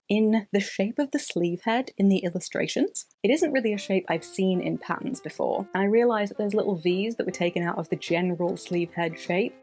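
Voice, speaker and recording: A woman narrating a scripted sewing vlog voice-over in an expressive way, with theatrical emphasis. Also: medium pitch, husky. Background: background music.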